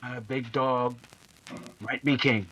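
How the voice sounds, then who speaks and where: A man's voice: medium pitch, steady tone, slow pace, fairly bright tone, slightly rough, rough recording. An actor playing a tough, cautious outlaw henchman in an old-time radio adventure, with coarse, folksy talk. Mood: wary, conspiratorial.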